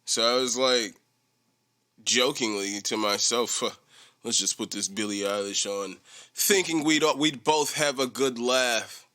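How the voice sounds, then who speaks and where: A young man hosting a solo podcast, chatting casually in a rambling, profane, animated way. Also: mid-range voice, a little slow. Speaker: podcast host.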